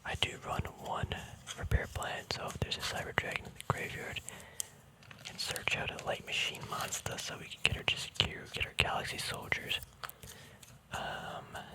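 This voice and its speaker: A man speaking in a soft, unvoiced whisper, relaxed and chatty, hosting a casual ASMR walkthrough. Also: low-quality recording. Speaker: ASMR video host.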